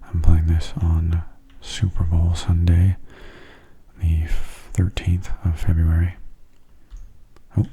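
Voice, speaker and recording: A man giving soft-spoken ASMR commentary on a game in a gentle, unhurried, casual way, his hushed voice often slipping into whispers. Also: deep voice, monotone, slightly rough. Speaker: gameplay video host.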